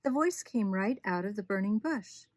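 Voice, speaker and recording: A woman narrating a bedtime story for young children, expressively and deliberately, with dramatic emphasis. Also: mid-range voice, expressive.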